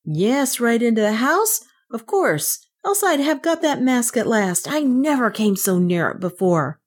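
A woman's voice: a little high, very animated, unhurried, breathy edge, voice pushed up, heavily acted. An eager young spirit boy from a storybook, acted by a woman narrator. He speaks emphatically in a lifted, swinging voice, thoroughly disgusted and frustrated. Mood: deep disgust.

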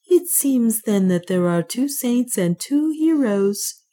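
A gentle, selfless male priest, as a woman narrator puts him on. He speaks clearly and softly, in a raised, lilting voice with a wide swing of pitch. This is a storybook reading. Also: lively intonation, measured pace. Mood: gentle resolve.

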